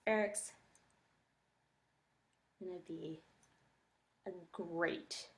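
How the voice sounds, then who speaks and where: A woman's voice: on the low side, fairly even intonation, drawn-out words. A woman teacher giving a think-aloud reading lesson, reading and commenting reflectively, talking her thoughts through step by step.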